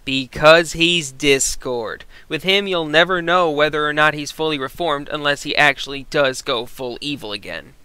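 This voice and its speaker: Bright, higher-pitched male reviewer. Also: normal speed, hardly any pauses, clear, resonant tone, no echo. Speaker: co-host reviewer. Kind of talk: conversational scripted review commentary.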